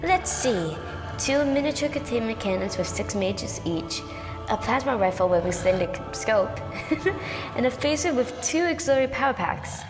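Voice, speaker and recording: An actress playing a no-nonsense, sarcastic Time Lord agent with a dry, brisk manner in a science-fiction audio drama. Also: female voice, a little high, expressive. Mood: matter-of-fact. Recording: long reverb with music behind.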